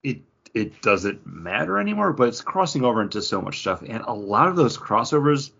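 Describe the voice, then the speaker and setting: A man chatting casually on a podcast, talking in long rambling runs full of restarts. His pitch swoops lively up and down and his voice has a slight husk. The audio is dull and lo-fi. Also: a little fast, creaky, slightly rough. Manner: rambling, animated, frequent "like" and restarts. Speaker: podcast co-host. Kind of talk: casual chat.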